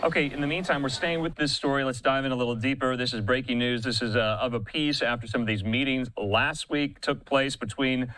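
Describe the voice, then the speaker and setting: A man's voice: medium pitch, fairly even intonation, brisk, few pauses, a bit bright, poor audio. A man anchoring live breaking news as a news anchor, speaking close to the microphone.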